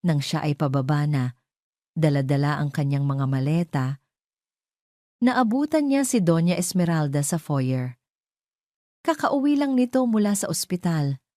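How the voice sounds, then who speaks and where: A woman narrating a scripted romance drama as a storyteller, in a measured, solemn manner. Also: deep voice, mellow, no room sound, studio quality.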